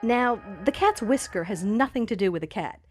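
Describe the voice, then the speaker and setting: Woman narrator over clear background music. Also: on the high side, animated, moderate pace. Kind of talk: scripted educational video narration.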